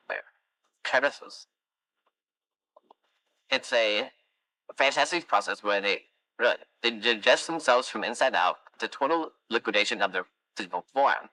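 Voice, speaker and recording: A rambling animatronic, voiced by a man, spins a meandering anecdote in a game. The voice is bright and forceful, sitting at an everyday pitch. It is recorded dry and close, with no room sound. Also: no echo, low-quality recording. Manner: long-winded, wandering anecdotes, dry musings. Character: chatty rambling animatronic, wistful storyteller. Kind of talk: fan game voice lines.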